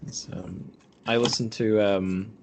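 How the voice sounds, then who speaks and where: A man's voice: on the low side, steady tone, slow, a little hiss or hum. A man hosting a podcast in casual group conversation, talking in a rambling, self-deprecating way. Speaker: podcast host and interviewer.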